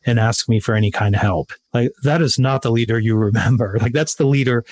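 A man's voice: mid-range voice, a little fast. A man speaking as a podcast guest, emphatic and motivational, repeating his main points. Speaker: guest, sales author. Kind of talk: interview conversation.

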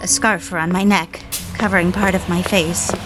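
A woman's voice: fairly low pitch, steady tone, moderate pace, natural voice. Woman acting a fragile, lovelorn woman over music. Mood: anguished. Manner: confessional, earnest, emotive. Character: Andrea, lovelorn, self-doubting, emotionally fragile woman. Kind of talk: dramatic first-person narration in audio drama. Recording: music under the voice.

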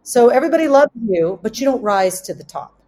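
A woman's voice: on the high side, expressive, fairly quick, darkish tone, a little hiss or hum. A woman speaking candidly as a company co-founder on a panel, motivational and emphatic, repeating phrases to drive points home. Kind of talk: candid discussion, motivational business talk.

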